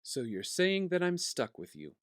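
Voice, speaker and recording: A man's voice: high-pitched, wildly swooping pitch, moderate pace, no room sound, studio quality. A man acting an earnest, self-doubting young vigilante hero in an audio drama. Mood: fond, resolved. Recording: music behind the voice.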